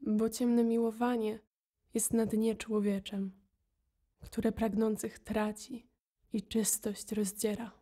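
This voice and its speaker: Soft, mellow woman reciting poetry slowly. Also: a little high, level tone. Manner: solemn, restrained delivery. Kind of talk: dramatic poetry recitation for audio play.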